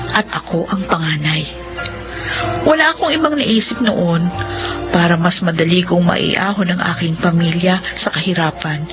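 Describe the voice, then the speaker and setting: A woman's voice: lowish voice, lightly acted. An actress with a Filipino accent playing a dutiful young eldest daughter narrating her story in a radio drama. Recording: muffled radio drama over music.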